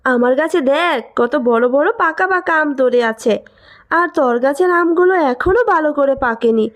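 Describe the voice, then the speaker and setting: A woman's voice: high voice, moderate pace, fairly bright tone. A woman voicing a greedy, scornful village sister-in-law in a cartoon moral story, brusque and scolding. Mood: mocking. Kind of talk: animated moral story acting.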